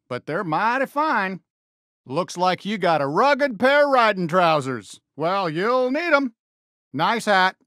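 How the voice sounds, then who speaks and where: A man's voice: high voice, pushed and bright, no echo, studio quality, heavily acted. A man voicing a friendly, folksy American toy cowboy sheriff in a video game, eager and encouraging with a cowboy drawl. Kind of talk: video game character voice lines.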